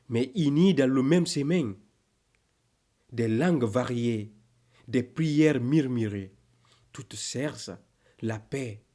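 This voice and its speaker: A man reciting spiritual poetry in a solemn, declamatory way, with deliberate pauses between phrases. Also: medium pitch, mellow. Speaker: poem narrator.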